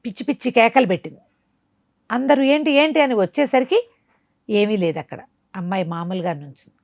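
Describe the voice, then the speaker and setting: A woman's voice: fairly high pitch, expressive, a little fast, creaky, dry recording, dull audio. Woman storyteller, dry close sound. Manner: conversational, dramatic, explains with asides and rhetorical questions. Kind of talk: animated oral storytelling of a folk moral tale.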